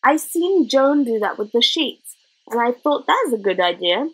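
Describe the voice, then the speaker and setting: A woman's voice: fairly high pitch, big pitch swings, measured pace, breathy edge, exaggerated voice, low-quality recording. A woman voicing a cheerful, literal small boy who used to be a rat, chattering earnestly in working-class grammar.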